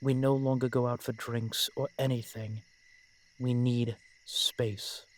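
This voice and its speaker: A man playing a brooding, protective vampire in an audio roleplay, speaking in formal, measured phrases with dramatic pauses. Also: mid-range voice, little pitch movement, a little hiss or hum, lightly acted. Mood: tender, sorrowful. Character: brooding, protective male vampire, old-fashioned and frustrated. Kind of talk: scripted audio roleplay acting addressed to the listener.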